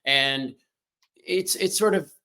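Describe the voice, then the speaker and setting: A man hosting a casual interview, emphatic and encouraging, tossing in quick affirmations. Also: a little high, bright, forceful tone. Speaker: podcast host.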